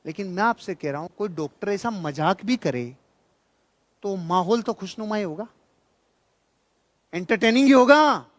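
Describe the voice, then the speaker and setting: High-pitched man giving a motivational talk. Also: expressive, measured pace, pauses often, dry recording. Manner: emphatic, repeats key phrases for effect; jocular, colloquial storytelling. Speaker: motivational speaker and trainer. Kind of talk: motivational seminar speech with anecdotes and humour.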